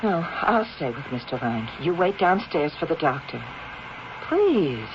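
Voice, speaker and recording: A two-faced wife in 70s radio drama, slow swoopy delivery, halting, muffled old tape. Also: female voice, sits low, sing-song. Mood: soothing, insistent. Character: scheming wife feigning grief over her dying husband. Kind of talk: 1970s radio drama acting. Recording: noisy, muffled vintage radio recording.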